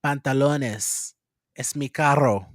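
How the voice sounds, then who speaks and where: A woman chatting casually on a livestream, expressive and quick to laugh, often imitating other people. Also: very deep voice, no echo, studio quality. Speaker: livestream co-host. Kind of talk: casual group chat.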